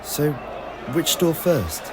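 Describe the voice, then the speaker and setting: A man's voice: on the high side, very animated, takes it slowly, over a noisy crowd, very noisy, voice pushed up. A man voicing a forthright, exasperated young female companion in an audio adventure. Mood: impatient.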